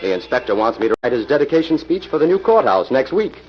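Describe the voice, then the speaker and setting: Guy doing wry confident 40s radio hero, very animated delivery, muffled old recording. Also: medium pitch, fast talker, faint music behind, natural voice. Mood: calm, explaining. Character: Jim, confident crime-solving hero, wry and calmly explaining. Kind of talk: 1940s radio acting.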